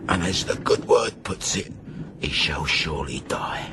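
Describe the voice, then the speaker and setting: An actor playing a vengeful, injured ship's cook with a rough, folksy way of talking in a radio drama. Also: male voice, extremely low pitch, animated, unhurried, background noise. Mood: bitter, vengeful. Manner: rough folksy dialect, muttered menace.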